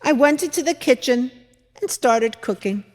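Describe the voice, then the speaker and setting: A woman playing an earnest biblical widow, a mother-in-law telling her story as a measured, expressive dramatic monologue with deliberate pauses. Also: high-pitched. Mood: joyful wonder. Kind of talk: dramatic monologue reading in church. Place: large church, indoors. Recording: echoey church hall, long reverb.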